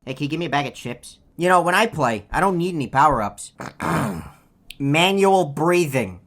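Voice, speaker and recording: A woman voicing a lazy, greedy bird who heckles in a deadpan, sarcastic way as a video game character. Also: low voice, moderate pace, a little hiss or hum. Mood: deadpan mocking.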